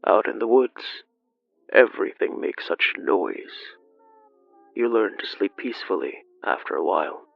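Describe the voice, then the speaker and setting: A narrator voicing a grim, measured, wryly amused older man in a horror audio drama. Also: sits low, lively intonation, gravelly, no room sound, muffled recording, voice pushed down, heavily acted. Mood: smugly genial. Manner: measured, grimly jovial. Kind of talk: audio drama character dialogue. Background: music.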